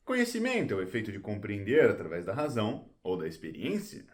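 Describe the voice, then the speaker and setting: A man's voice: lowish voice, wildly swooping pitch, brisk. A man playing a proud, showy fairy of knowledge in an audio drama, with a pompous storytelling manner. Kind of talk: audio drama stage acting.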